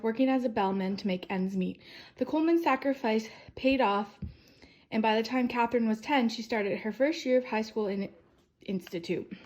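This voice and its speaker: A woman reading a children's picture-book biography aloud as a storyteller, with deliberate phrasing, emphatic sentence starts and softly falling endings. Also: on the high side, highs cut off.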